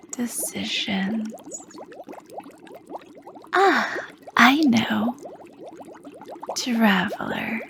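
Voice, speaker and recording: A sly, charming female potion master purrs at an unhurried pace, as a woman acts her out. Her voice sits a little high and soft, and she slips into breathy whispers as she flirts over her brewing. A faint noise hums underneath. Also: full character voice. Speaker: shopkeeper. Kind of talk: ASMR roleplay.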